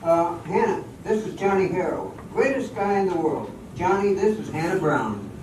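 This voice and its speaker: A man's voice: high voice, expressive, normal speed, a little mellow. A man acting as a hearty, breezy showman in an amateur radio drama, jovial and bossy. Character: breezy, hearty showman, everybody's best pal. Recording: echoey hall from far off, faint noise.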